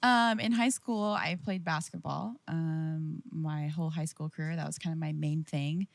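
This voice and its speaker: Young woman with a gravelly low voice. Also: fairly even intonation, moderate pace, no room sound. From Pismo Beach, California. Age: young adult. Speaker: church staff member. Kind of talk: casual interview answers.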